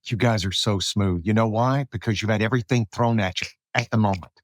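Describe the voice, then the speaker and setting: A middle-aged man from South Alabama hosting a casual, conversational interview in an animated, anecdotal way. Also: fairly low pitch, brisk, slight background noise. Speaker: podcast host, radio broadcaster.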